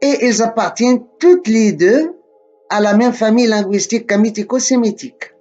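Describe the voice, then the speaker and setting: A man from Morocco giving a slow, deliberate spoken lesson, often repeating and correcting himself. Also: high-pitched, darkish tone, dull audio, faint music behind.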